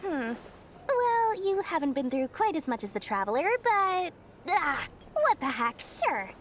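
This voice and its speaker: High-voiced, boastful little merchant girl. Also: very animated, measured pace, slight background noise. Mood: generous, boastful. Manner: self-important, playful, theatrical. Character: small boastful girl merchant, sassy, money-minded, friendly. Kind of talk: video game character acting. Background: game music.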